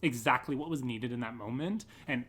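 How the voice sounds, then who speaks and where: Young male guest, mid pitch, eager and agreeable, conversational. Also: fairly quick, a bit bright, husky. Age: young adult. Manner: enthusiastic, agreeable. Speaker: podcast guest, theatre artist. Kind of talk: casual interview chat.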